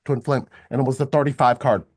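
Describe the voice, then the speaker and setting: An older man co-hosting a casual spiritual livestream, soft-spoken and rambling, repeating words as he thinks aloud. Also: mid-range voice, steady tone, fast talker. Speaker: co-host of a relationship coaching livestream.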